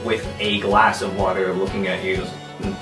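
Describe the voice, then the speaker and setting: A man's voice: low-pitched, monotone, normal speed. A man works as game master in a roomy space, a little far from the mic, with music behind him. He narrates scenes loosely and conversationally, feeling his way through each description. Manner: casual, hedging with frequent "like". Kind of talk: improvised narration of a tabletop roleplay game.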